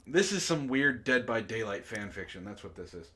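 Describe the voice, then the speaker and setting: Male host, very expressive swings in pitch, mock-dramatic delivery for casual comedic reading and banter. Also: moderate pace. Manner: animated, mock-dramatic, breaks into laughter.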